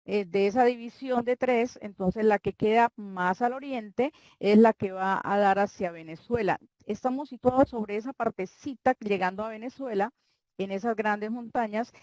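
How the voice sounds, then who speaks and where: An older woman from Colombia with a Santander accent, a guest author chatting in an interview, talking warmly and rambling with frequent restarts. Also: mid-range voice, normal speed, dry recording, rough recording. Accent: Colombian Spanish, Santander. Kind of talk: casual interview chat.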